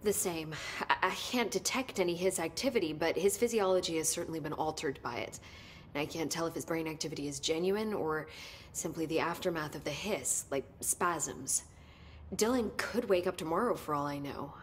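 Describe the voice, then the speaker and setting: Woman acting smart earnest scientist lady in a game, lowish voice, precise wording, rumbly noise behind. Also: normal speed, close to an everyday voice. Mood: earnest, analytical. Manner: articulate, clinical wording, emphatic when stirred. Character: earnest, sharp-witted woman research scientist at a secret bureau. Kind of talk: naturalistic video game acting.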